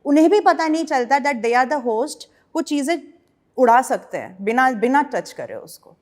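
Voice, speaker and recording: A woman's voice: sits high, very animated, measured pace. An Indian woman, a paranormal investigator, explaining things conversationally as a podcast guest and mixing Hindi with English.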